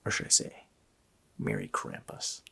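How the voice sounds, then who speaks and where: A man voicing an evil, mocking child-snatching Christmas demon in a slow, menacing, breathy rasp for an ASMR roleplay. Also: bright, forceful tone. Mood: darkly gleeful. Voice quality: breathy, gravelly rasping whisper.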